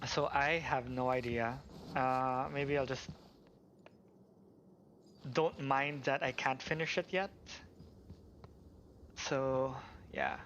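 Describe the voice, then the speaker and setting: A man's voice: medium pitch, fairly even intonation, takes it slowly, long pauses, a bit bright, muffled recording, a little hiss or hum. A man hosting a game livestream, thinking aloud hesitantly in casual live commentary.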